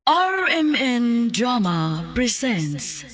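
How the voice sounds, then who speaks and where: A woman's voice: fairly high pitch, big pitch swings, extremely slow. A woman announcing a radio drama program in a dramatic intro style.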